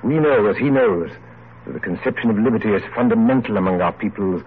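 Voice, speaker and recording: A man's voice: on the low side, quick pace, slightly rough. An actor playing a genial, reflective older English man of letters, courtly and oratorical, in an old radio play. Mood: grave, reflective. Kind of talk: 1940s radio drama acting. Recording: muffled, noisy vintage radio recording.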